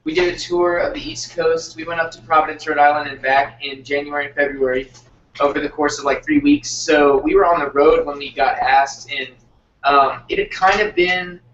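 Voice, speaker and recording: A young man from Texas talks casually as an interviewed band member, feeling his way through sentences with many fillers. His medium-pitched voice sounds distant in a roomy space, dull and faintly noisy. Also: fairly even intonation, moderate pace, a bit bright. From Texas, United States. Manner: hesitant, filler-heavy, searching phrasing. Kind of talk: casual chat.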